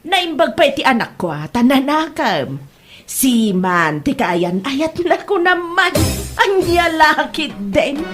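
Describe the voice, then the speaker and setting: A woman playing a boastful, gossiping middle-aged mother in an Ilocano comedy drama, with an Ilocano accent and emphatic, drawn-out bragging. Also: sits high, very animated, over music, heavily acted. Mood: mocking, smug. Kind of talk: Ilocano comedy radio-style drama acting.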